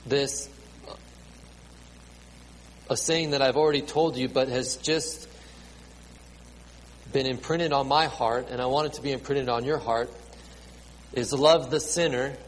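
A man in his late thirties preaching a sermon to a congregation with children, halting and repeating words, with many ums. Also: medium pitch. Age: 30s. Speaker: pastor. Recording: reverberant hall, faint ambient noise.

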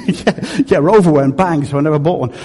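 A man in his fifties from Dublin, with a softened Dublin Irish accent, preaching a sermon in a joking, conversational, storytelling way. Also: fairly high pitch, moderate pace, husky. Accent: Dublin Irish, softened by years in England. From Ireland. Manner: conversational, jokey, animated storytelling. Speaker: pastor. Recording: echoey hall.

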